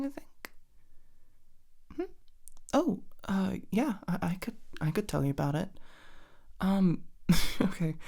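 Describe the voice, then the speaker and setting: A young woman speaks in a low, soft voice that sometimes drops to a near-whisper. She rambles in casual ASMR style as if chatting to her partner, doubling back on her own sentences and swearing offhandedly. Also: gravelly. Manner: hesitant, rambling, self-interrupting, casually sweary. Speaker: ASMR roleplay performer playing a girlfriend.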